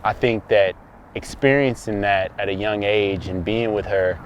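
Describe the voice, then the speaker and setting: Lower-voiced man in his thirties, reflective. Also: fairly even intonation, lo-fi sound, slight background noise. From Dallas, Texas. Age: 30s. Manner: reflective, halting restarts. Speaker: guest. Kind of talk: casual conversation.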